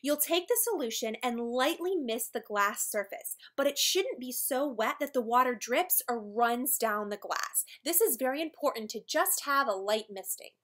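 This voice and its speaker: A woman with a high-pitched voice narrates an instructional video. She presents from a script, walking through each step plainly and in careful order. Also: animated, moderate pace, hardly any pauses, fairly bright tone. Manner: clear step-by-step explaining. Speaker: tutorial presenter for a decor company.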